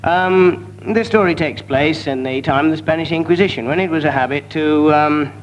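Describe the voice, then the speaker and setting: A man improvising a comic tale in a halting, digressive, mock-learned manner, on a muffled broadcast recording. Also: on the high side. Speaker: comedy panellist. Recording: muffled broadcast with background noise.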